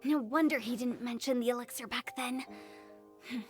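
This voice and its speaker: An actress voicing a playful, mischievous funeral parlor director in a video game, with a teasing, theatrical and quick-witted manner. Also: female voice, fairly high pitch, faint music behind, close to an everyday voice.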